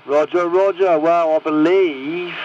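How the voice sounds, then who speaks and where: A man's voice: a little high, fairly even intonation, takes it slowly. A man chatting casually as he runs a check-in over CB radio. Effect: CB radio transmission. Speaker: CB radio operator running a check-in list. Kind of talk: casual radio net chat. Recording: noisy, muffled CB radio signal.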